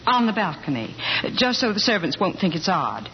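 A woman's voice: mid-range voice, big pitch swings, moderate pace. An actress playing a scheming married woman who professes forbidden love in theatrical 1940s radio comedy style. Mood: coy. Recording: muffled, noisy 1940s radio recording.

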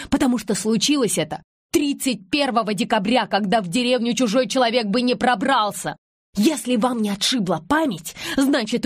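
A woman's voice: on the low side, mellow, slightly rough, no room sound, studio quality. A woman voicing a guarded, wronged pregnant village woman with bitter defiance, in an audiobook story. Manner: breaking, breathless outcry when provoked.

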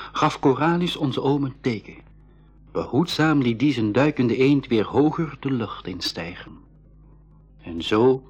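An actor playing a storyteller who recounts an adventure in scripted school radio narration. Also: male voice, mid-range voice, husky. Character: male storyteller recounting his own adventure. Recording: dull lo-fi radio recording with music.

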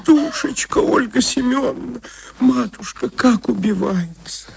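Woman voicing slow, creaky, pitying neighbour women. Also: fairly high pitch, lively intonation, full character voice. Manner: slow, drawling, tearful pity. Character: pious gossiping neighbour women, pitying and sighing.

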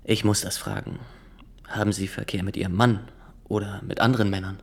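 An actor in a German radio drama playing a formal, zealous nineteen-year-old state inspector who questions insistently and haltingly. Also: male voice, fairly low pitch, little pitch movement, slightly rough. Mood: clinical, insistent. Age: young adult.

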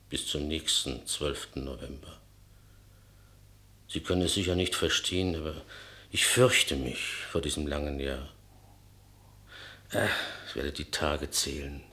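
A man's voice: rumbling low, pushed and bright, exaggerated voice. An actor playing a lonely, fearful, longing man in a radio play, confessing in a brooding, hesitant monologue. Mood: solemn. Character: lonely, self-confessed coward, melancholy and longing.

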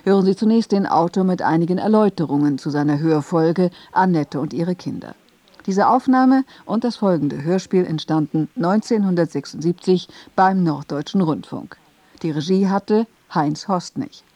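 A woman's voice: lowish voice, animated, normal speed, dark tone, no room sound. A woman reading a scripted announcement as a radio presenter.

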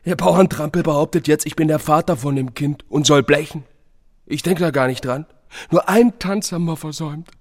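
An actor playing a flippant, philandering young office clerk with a cheeky, slangy way of talking, in a German radio drama. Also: male voice, on the high side, fairly quick, in a large hall. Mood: flippant, aggrieved.

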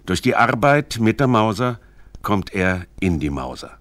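Low-voiced male feature narrator, dry studio. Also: big pitch swings, fairly bright tone, no echo. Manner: measured, precise, formal delivery. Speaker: documentary narrator. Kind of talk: scripted radio feature narration.

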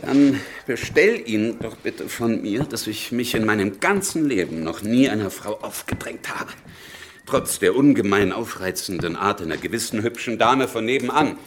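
A man playing a worldly, blunt revolutionary statesman in a radio drama, condescending and swinging from quiet to forceful. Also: lowish voice, animated, normal speed, a little hiss or hum, natural voice. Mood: indignant.

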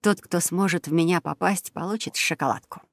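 A woman voicing a playful, thoughtful, gentle mother in a children's storybook. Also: fairly low pitch, fairly quick, no room sound. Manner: musing, playful. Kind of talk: children's audio storybook character voice.

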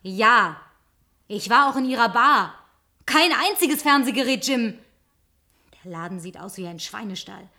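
Inquisitive woman, slightly high voice. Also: expressive, unhurried, long pauses, bright, forceful tone, full character voice. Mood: accusing. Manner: probing, questioning. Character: Linda, inquisitive, suspicious, solitary woman with dolls. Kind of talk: German radio play acting.

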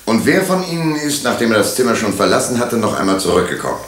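Police inspector: lowish voice, courteous but probing, methodical, roomy radio-play sound. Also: male voice, sing-song. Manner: formal, probing, deliberate. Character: methodical, courteous male police inspector questioning murder suspects. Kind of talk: German radio drama acting. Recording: roomy, echoing radio drama studio.

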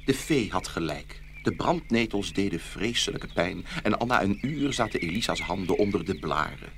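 A man's voice: low-pitched, animated, normal speed, a little hiss or hum. A man narrating a fairy tale as a scripted storyteller.

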